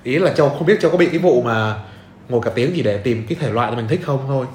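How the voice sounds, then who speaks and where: A man's voice: medium pitch, animated, quick pace. A man hosting a casual conversational podcast, talking informally and often tossing in check-in questions. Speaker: podcast host. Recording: roomy echo, faint background noise.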